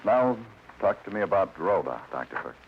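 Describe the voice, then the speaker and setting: Low, wry American noir detective. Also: male voice, big pitch swings, normal speed, vocal fry, a little hiss or hum, full character voice. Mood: dry, sardonic. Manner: dry, sardonic, clipped questioning. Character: hard-boiled, wry New York police detective. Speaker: radio drama actor. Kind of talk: 1940s-50s noir radio acting and narration. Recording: muffled vintage radio recording.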